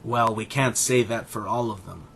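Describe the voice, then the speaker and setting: A man playing an arrogant, condescending middle-aged animal scientist and father in a scripted audio drama, speaking in a pompous, deliberate way. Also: on the low side, fairly even intonation, creaky, fairly bright tone, a little hiss or hum.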